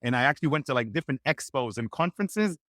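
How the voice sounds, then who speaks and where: A man whose first language is Hebrew, giving energetic, motivational networking advice as a podcast guest. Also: medium pitch, fast talker, no room sound, studio quality. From Israel. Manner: energetic, motivational, acts out quoted dialogue. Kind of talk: animated podcast interview.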